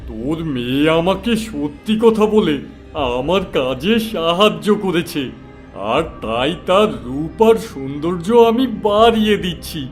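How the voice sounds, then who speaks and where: A man's voice: a little high, animated, unhurried, faint music behind. A man voicing a stern, wrathful holy man with magic powers in a fairy-tale cartoon. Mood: commanding.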